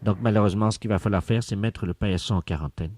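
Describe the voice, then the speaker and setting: A man playing a pompous scientist in a deadpan prank call, speaking solemnly and pedantically with deliberate articulation. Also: lowish voice, no room sound, close to an everyday voice. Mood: solemn, instructive. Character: pompous male scientist investigating a virus outbreak for radio. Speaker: prank caller. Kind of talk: deadpan prank phone call with hushed radio commentary.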